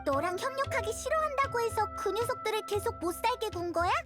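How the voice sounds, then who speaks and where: A woman voicing a tiny childlike fairy companion in a video game, curious, chatty and excitable. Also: very high-pitched, a little fast, loud music behind the voice. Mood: inquisitive. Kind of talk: scripted game dialogue, Korean voice acting.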